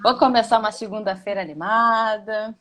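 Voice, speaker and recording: A woman's voice: medium pitch, steady tone, fairly quick, fairly bright tone. A woman teacher with a Rio Grande do Sul accent, chatting casually on a video call with frequent hesitant restarts. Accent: Rio Grande do Sul Portuguese. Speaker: administration teacher. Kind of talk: casual live class chat.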